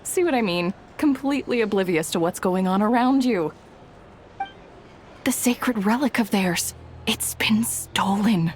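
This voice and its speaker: A woman's voice: medium pitch, animated, unhurried, a little hiss or hum, natural voice. A woman playing a gossiping townswoman passing on news in video game dialogue. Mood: gossipy.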